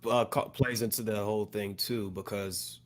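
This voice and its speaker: A man in casual podcast discussion, choosing his words in hesitant, measured phrases. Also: lowish voice, steady tone. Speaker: podcast panelist.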